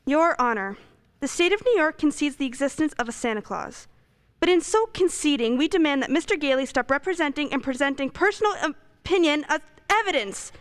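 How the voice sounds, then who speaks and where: Woman as indignant prosecutor, high voice, formal, insistent, amateur radio drama. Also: lively intonation, a little fast. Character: indignant, combative prosecuting attorney. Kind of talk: amateur radio play acting.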